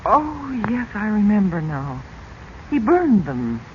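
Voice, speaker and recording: A woman's voice: medium pitch, very animated, slow. An actress playing an anxious, middle-aged widowed mother in a 1940s radio drama. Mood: uneasy, chatty. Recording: muffled, noisy old radio recording.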